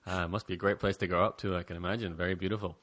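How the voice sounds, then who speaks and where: A man's voice: sits low, level tone, very fast, heavy background noise. A man hosting an interview over a call, chatty and friendly, with many fillers and restarts. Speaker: podcast host. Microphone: call recording.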